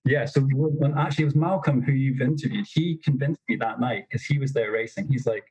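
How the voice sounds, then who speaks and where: A young man telling stories as a podcast guest, in a self-described monotonous voice with lots of fillers. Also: mid-range voice, brisk, breathy edge, rough recording. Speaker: snowboard instructor trainer, podcast guest. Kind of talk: casual interview storytelling.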